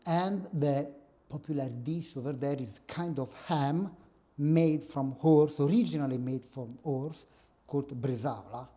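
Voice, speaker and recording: A middle-aged man with an Italian accent, a guest professor chatting in a small office. His voice is very dark and mellow, and he makes dry, self-mocking jokes. It sounds picked up by a camera some way off. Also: a little high, measured pace. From northern Italy. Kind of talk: casual interview. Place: small office room with table.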